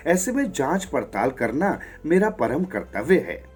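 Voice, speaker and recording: A man's voice: a little high, very animated, measured pace, pitched above the performer's own voice. A man voicing an old, half-blind vulture guardian in a storybook tale, stern and formal, with music behind. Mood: softened, polite. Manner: stern challenge softening into polite formality.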